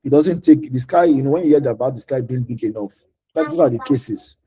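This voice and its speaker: A man's voice: medium pitch, brisk, dark tone, breathy edge, poor audio. A man with a Nigerian accent giving informal career advice in a mentoring session, repeating key points for emphasis. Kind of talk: informal advice talk answering audience questions.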